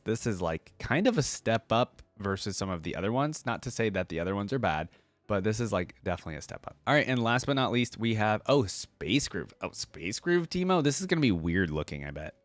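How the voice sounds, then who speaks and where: A man's voice: fairly low pitch, no room sound. A man hosting a casual reaction video, talking informally and thinking aloud as he comments. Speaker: video creator and host.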